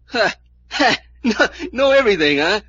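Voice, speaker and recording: A snotty young punk, highish raspy voice that jumps around, sneering slang, old radio crime serial. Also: male voice, big pitch swings, normal speed, bright, forceful tone, exaggerated voice. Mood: defiant, rattled. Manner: snappy tough-guy slang, sneering. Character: sullen, defiant young male hoodlum hating cops. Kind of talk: 1940s radio serial acting. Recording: muffled old-time radio recording.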